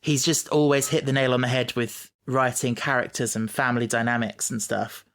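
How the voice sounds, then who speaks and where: Podcast host, man, mid-pitched voice, casual and enthusiastic fan talk with tentative phrasing. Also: flat delivery, moderate pace, creaky, a bit bright.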